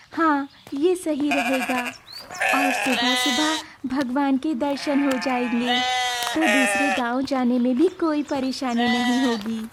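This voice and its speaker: A woman's voice: high voice, very noisy. A woman voicing a lonely, meek outcast village girl in a cartoon story, plaintive and wistful. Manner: plaintive, talking to herself or pleading. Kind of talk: Hindi cartoon moral story dialogue.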